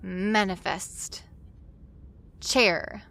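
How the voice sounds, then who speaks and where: A woman's voice: medium pitch, fairly even intonation, drawn-out words, gravelly, a bit bright, heavily acted. A woman playing a grateful, awestruck young newcomer to a futuristic city in an audio drama. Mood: delighted.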